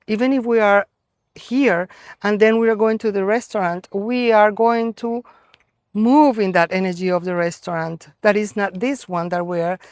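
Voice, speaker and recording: A middle-aged woman from Colombia with a Colombian Spanish accent, giving an earnest, reflective spiritual talk to a gathering, with many pauses and fillers. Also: mid-range voice, no echo, clean studio sound. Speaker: gathering organizer.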